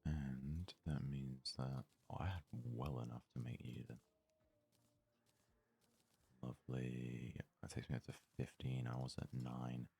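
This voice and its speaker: A man speaks in a very deep, husky murmur with little rise or fall in pitch. He is hosting an ASMR let's play, softly thinking aloud at an unhurried pace, close to the mic. His delivery stays level and gentle throughout. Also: little pitch movement. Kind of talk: soft-spoken ASMR gaming commentary.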